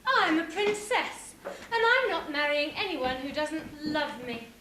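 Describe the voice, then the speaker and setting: A woman's voice: high-pitched, sing-song, a little slow, pushed and bright, heavily acted. A woman playing a haughty, spoilt young princess, declaiming in a stage pantomime. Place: amateur stage show in a hall. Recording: echoey hall, faint noise.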